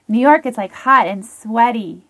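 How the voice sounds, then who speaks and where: A woman's voice: a little high, unhurried, background noise. A woman hosting a podcast, bubbly and enthusiastic as she chats with her guest. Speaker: podcast host. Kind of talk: casual interview chat.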